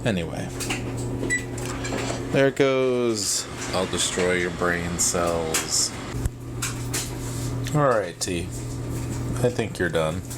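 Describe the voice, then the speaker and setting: A man's voice: low voice, animated, extremely slow, long pauses. A man from the American South chatting casually and thinking aloud as he vlogs in his kitchen. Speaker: vlogger and host. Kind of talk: casual morning vlog chat while doing kitchen tasks. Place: home kitchen, indoors. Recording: noisy kitchen with loud appliance hum. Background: running dryer, clattering dishes.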